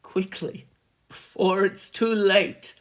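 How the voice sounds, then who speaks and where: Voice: medium pitch, a little slow, voice pushed up. A reader voicing a frantic trapped man. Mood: frantic pleading. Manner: frantic, urgent, shouting. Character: desperate man trapped underground, pleading. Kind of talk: dramatic horror story reading.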